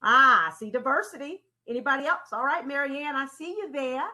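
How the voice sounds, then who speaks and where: A woman leading an interactive training talk in a deliberate, teacherly way, putting questions to her audience and repeating key phrases. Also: high-pitched, pushed and bright. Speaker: presenter leading a training session. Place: online conference call with slides.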